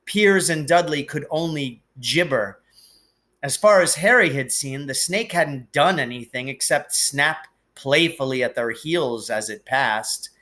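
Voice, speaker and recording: A man narrates a children's novel in a bright, forceful voice pitched a little high. He takes his time, reading expressively with measured, deliberate phrasing. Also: expressive. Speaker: storybook reader. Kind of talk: expressive audiobook-style narration.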